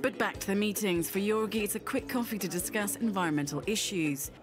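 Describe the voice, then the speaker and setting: A woman narrating a scripted documentary feature in a brisk, lively voice-over. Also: medium pitch. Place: voice-over studio. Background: background music.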